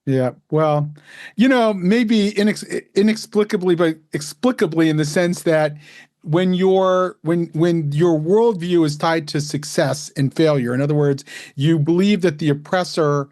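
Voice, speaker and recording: Guy hosting a podcast, kinda high voice, dry close sound, rambles a bit before getting to the question. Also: a little slow, a little mellow, no echo. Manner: rambling with fillers and restarts. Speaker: podcast host. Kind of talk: podcast interview.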